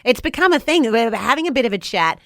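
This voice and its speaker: A woman's voice: fairly high pitch, animated, fast, a bit bright. A woman with an Australian accent chatting casually as a breakfast radio co-host. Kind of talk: casual chat.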